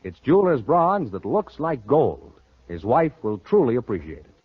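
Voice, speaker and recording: A man's voice: sing-song, normal speed, breathy edge. An American man delivering a polished 1940s radio sponsor announcement. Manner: polished sales pitch. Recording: muffled, dry vintage radio recording.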